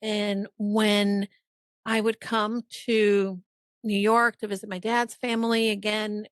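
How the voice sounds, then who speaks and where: Female podcast guest from El Salvador, mid-pitched voice, expressive personal storyteller who seeks agreement, dry close recording. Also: slow pace, clear, resonant tone, dry recording. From El Salvador until age ten, then Miami. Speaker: podcast guest, business coach.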